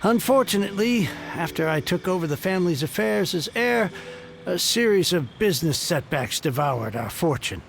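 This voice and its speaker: Earnest, high-voiced humble man. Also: very animated, normal speed, creaky, slight background noise, heavily acted. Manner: effusive, earnest. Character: earnest, humble male heir of fallen house. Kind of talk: English video game voice acting.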